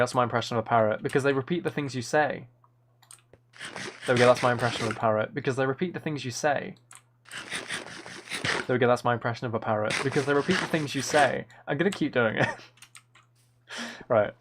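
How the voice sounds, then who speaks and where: Playful man with animated, creaky voice. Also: medium pitch. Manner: playful, rambling, trying out game sound impressions. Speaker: livestreamer, author. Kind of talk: casual gaming livestream chat with viewers. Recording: faint noise with key clicks. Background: computer keyboard typing and clicking.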